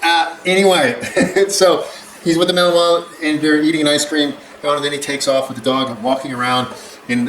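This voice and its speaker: A man's voice: a little high, fairly bright tone. An older man, a longtime dog trainer, giving a blunt, rambling reaction commentary with the odd chuckle. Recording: echoey room with faint background hum.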